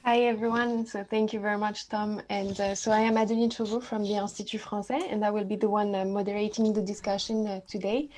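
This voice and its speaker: A woman with a French accent moderating an online discussion in a polite, halting manner. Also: mid-range voice, little pitch movement, a little mellow, slight background noise.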